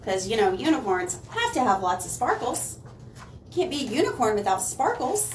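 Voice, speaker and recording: Animated high-voiced woman reading a children's story. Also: moderate pace. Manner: expressive, dramatic emphasis with asides to young listeners. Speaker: children's librarian reading picture books aloud. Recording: roomy, distant mic, faint noise.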